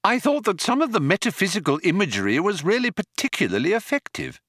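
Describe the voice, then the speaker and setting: A man voicing a nervous, eager human fellow who flatters and flounders in a bright, gushing storybook voice. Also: sits high, fast talker, no room sound, clean studio sound, voice pushed up, exaggerated voice. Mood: bright flattery.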